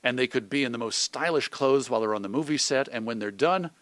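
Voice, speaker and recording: A middle-aged American man talking as an interview guest in an animated, thoughtful, digressive conversational way. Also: medium pitch, fast, no room sound. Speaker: interview guest, magazine publisher and radio host.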